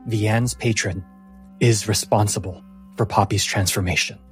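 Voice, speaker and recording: A man's voice: fairly low pitch, steady tone, measured pace. A man narrating a tabletop fantasy game as game master, in a solemn, dramatic storyteller's style. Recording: music bed under the voice.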